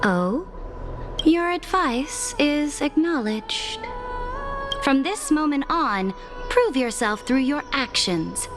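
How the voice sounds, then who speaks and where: A woman playing a haughty, commanding young emperor in a video game, speaking in a calm, regal and formal manner. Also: high voice, exaggerated voice. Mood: regal, composed. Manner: regal, measured, formal, deliberate. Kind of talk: scripted video game voice acting. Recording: orchestral game music behind the voice.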